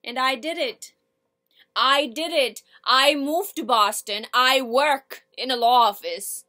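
Actress as bitter, defiant young woman, bright-voiced. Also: sits high, pitched above the performer's own voice, heavily acted. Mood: angry, defiant. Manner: halting and repetitive, building to outbursts, swearing. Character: bitter, hurt, defiant young working-class woman, foul-mouthed. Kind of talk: theatrical audition monologue.

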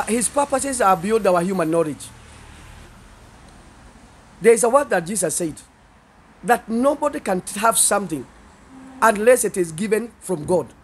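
A middle-aged man with a Kenyan accent, a pastor speaking earnestly and haltingly in an interview, pausing and repeating phrases. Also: high-pitched, a little hiss or hum. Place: seated indoors in a small studio set. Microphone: handheld interview microphones held by the interviewer.

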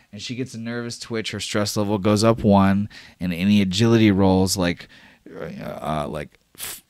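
A man's voice: on the low side, no echo, studio quality. A man narrating an improvised tabletop sci-fi horror game as game master, in a casual, profane, dramatic way.

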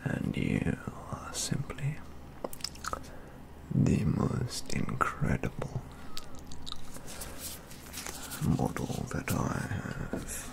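A young man in his twenties playing a pompous, fussy model painter in a soft-spoken ASMR roleplay, whispering breathily in slow, ornate, halting phrases close to the mic. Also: low-quality recording. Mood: reverent, self-satisfied. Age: 20s. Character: pompous, rapturous male model painter and collector, fussy aesthete. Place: indoor studio with plain backdrop. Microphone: close ASMR microphone.

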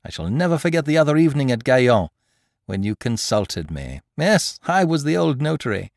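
A man acts out an exuberant gentleman thief from a storybook, teasing and condescending toward the young. His delivery is theatrical and mocking, with a pitch that leaps about dramatically, all in a very dry recording. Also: big pitch swings, brisk, creaky, no room sound. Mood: taunting. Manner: mocking, theatrical, peppered with rhetorical questions. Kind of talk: dramatised audiobook character dialogue.

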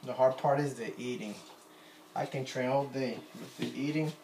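Casual vlogger, Puerto Rican accent, self-deprecating, roomy kitchen with faint noise behind. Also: male voice, mid-range voice, steady tone, normal speed, darkish tone. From Puerto Rico. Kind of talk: casual chat while cooking. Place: home kitchen, indoors. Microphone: phone camera on counter. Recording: echoey kitchen with faint ambience.

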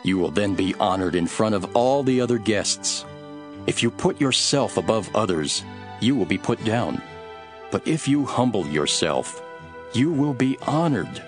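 Solemn male teacher-prophet, low voice, very varied intonation, earnest preaching, scripture drama. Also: animated. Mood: earnest teaching. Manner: measured, emphatic, preaching. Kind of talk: dramatized scripture reading over music. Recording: music behind the voice.

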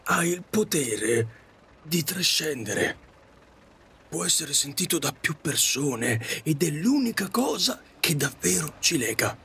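A man playing a middle-aged widowed taxi driver in an audio drama, confessing his regrets in a halting, repetitive, self-reproaching way. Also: fairly high pitch, very animated, creaky, pushed and bright, slight background noise, exaggerated voice. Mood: earnest, reflective. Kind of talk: Italian audio drama monologue.

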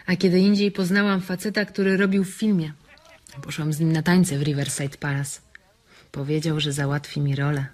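Husky, lonely young farm wife. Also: female voice, sits low, fairly even intonation, moderate pace. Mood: wistful, bitter. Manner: colloquial, coaxing, self-pitying. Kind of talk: radio drama acting.

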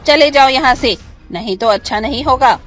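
A woman's voice: sits high, moderate pace, a bit bright, faint music behind. Woman as a scolding, skeptical cartoon villager.

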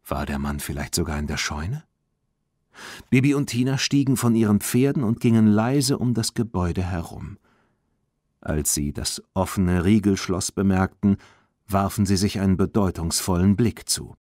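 A man reading a children's audiobook as an expressive, clearly enunciated scripted narration. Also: low-pitched, normal speed, no room sound, studio quality.

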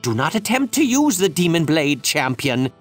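A man playing a zealous holy priest in a fantasy game, proclaiming gravely and theatrically. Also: a little high, sing-song, normal speed, soft background music. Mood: grave warning. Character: zealous holy priest, fervent champion of the Light. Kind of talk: dramatic fantasy game acting.

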